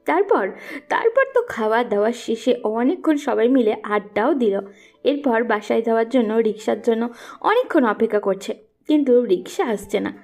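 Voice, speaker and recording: A woman's voice: fairly high pitch, expressive, moderate pace, a little mellow, faint music behind. A woman narrating a scripted romantic audio story.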